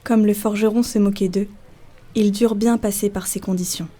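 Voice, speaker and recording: A woman's voice: medium pitch, fairly even intonation, normal speed, warm tone. A woman narrating a literary folk tale as a scripted storyteller.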